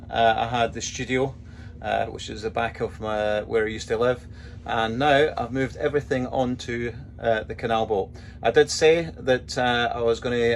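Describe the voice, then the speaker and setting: Brief: man hosting a podcast, mid-pitched, halting delivery full of fillers, faint hum behind. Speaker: podcast host. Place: canal boat studio.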